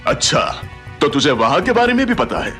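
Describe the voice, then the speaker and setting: Middle-aged male villain uncle, animated swooping pitch, spiteful, theatrical, audio drama. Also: a little fast, faint music behind. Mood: angry, sneering. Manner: theatrical, sneering. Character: spiteful blustering middle-aged uncle, greedy, fawning to stronger men. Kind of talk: Hindi audio drama acting.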